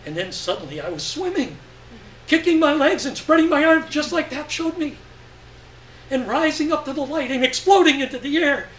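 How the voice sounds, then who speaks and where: A man giving an expressive, deliberate dramatic reading of literary fiction on stage. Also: very high voice. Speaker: story reader. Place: live stage reading event. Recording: echoey room, distant mic, faint noise.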